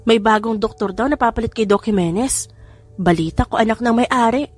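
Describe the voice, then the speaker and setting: A woman's voice: on the high side, fairly quick, a little mellow, breathy edge. A chirpy, gossipy young Filipina nurse, voiced by a female narrator, chatty and giddy. Romance audiobook dialogue plays over music. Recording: music bed behind voice.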